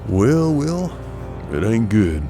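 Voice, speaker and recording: An actor playing a weary, gruff bounty hunter in a western audio drama, with a folksy, unhurried, gravelly drawl. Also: male voice, mid-range voice, very animated, over music. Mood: matter-of-fact.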